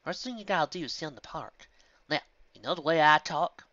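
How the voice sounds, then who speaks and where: A woman's voice: sits low, brisk, a bit bright, dry recording, dull audio, pitched above the performer's own voice. A woman voicing a plain-spoken, stubborn female farm pony with a folksy American country accent and an exasperated manner.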